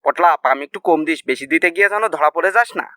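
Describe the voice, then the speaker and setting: Man as very fast, high-voiced cartoon suitor. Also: noisy background, natural voice. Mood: worried, scolding. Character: anxious young bridegroom-to-be, scolding his foolish friend. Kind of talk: comedy cartoon dialogue.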